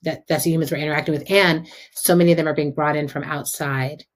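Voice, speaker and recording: A woman's voice: deep voice, steady tone, quick pace. An American woman hosting a conversational interview, speaking informally and reflectively as she thinks aloud. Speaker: podcast host and interviewer.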